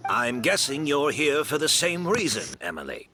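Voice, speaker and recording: A man's voice: fairly high pitch, wildly swooping pitch, moderate pace, bright, forceful tone, full character voice. A man playing a modest, gracious older master perfumer and mentor in a video game. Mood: explaining. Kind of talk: video game character acting.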